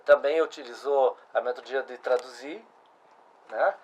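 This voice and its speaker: A man's voice: mid-range voice, normal speed, slightly rough. A middle-aged man, hosting a casual interview and asking follow-up questions. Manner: conversational, restarts and asks follow-up questions. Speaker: host.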